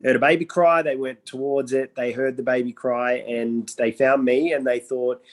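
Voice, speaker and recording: A man's voice: medium pitch, fairly even intonation, normal speed. A young man, originally from Iraq, telling his life story as an interview guest in an earnest way with the odd joke. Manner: earnest and storytelling, with playful jokes. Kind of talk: casual interview talk.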